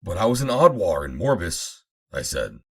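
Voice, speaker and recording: A man reading an adventure novel aloud as an audiobook narrator, in a measured, formal storytelling manner. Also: low-pitched, big pitch swings, husky. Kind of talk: dramatic scripted narration of an old adventure novel.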